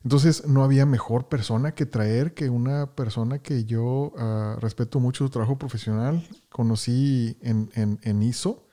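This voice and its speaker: A man's voice: mid-range voice, moderate pace, few pauses, warm tone. A man hosting a podcast interview, greeting listeners with energy and often repeating words as he talks. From Houston, Texas, United States. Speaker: podcast host.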